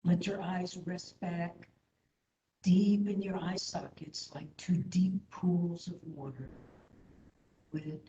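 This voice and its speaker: A woman leading a guided meditation as an instructor, speaking in a soothing, gentle, unhurried manner. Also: lowish voice, fairly even intonation. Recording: echoey room, slightly dull sound.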